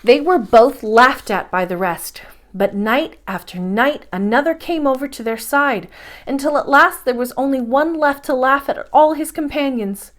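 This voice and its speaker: A woman reading a children's story aloud as a storyteller, with expressive, measured phrasing and dramatic emphasis. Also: sits high.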